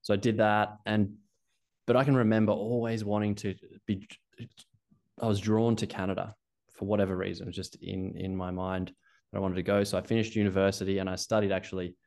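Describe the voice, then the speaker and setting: Low-voiced Australian man, rambling. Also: little pitch movement, brisk, a little mellow. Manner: rambling with frequent restarts and fillers. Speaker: ski coach guest. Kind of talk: casual interview talk.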